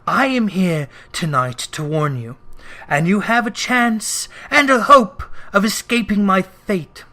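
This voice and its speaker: A man voicing a mournful, stern ghost in a storybook reading, with solemn, drawn-out, wailing declamation. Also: high-pitched, expressive, pushed and bright, full character voice. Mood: solemn, warning. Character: mournful, stern male ghost, warning and remorseful.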